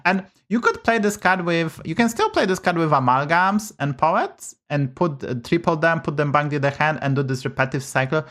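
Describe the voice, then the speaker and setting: A man's voice: a little high, expressive, normal speed, hardly any pauses, fairly bright tone. A man with a Polish accent, a native Polish speaker, giving blunt, swear-heavy casual commentary on a game stream from a home setup. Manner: blunt, swears often, rants about bugs.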